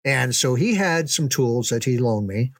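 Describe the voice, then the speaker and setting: An older man reminiscing in a casual, folksy way, unhurried, with pauses for emphasis. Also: mid-range voice, expressive, no echo, clean studio sound. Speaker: podcast storyteller, reminiscing father. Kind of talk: casual conversational storytelling.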